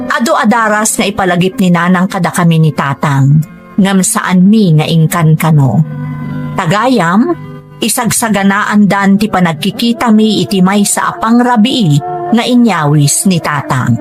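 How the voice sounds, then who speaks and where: A woman voicing a grown daughter who narrates her family's story in a measured, scripted audio-drama style. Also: medium pitch, close to an everyday voice. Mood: reflective. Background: background music.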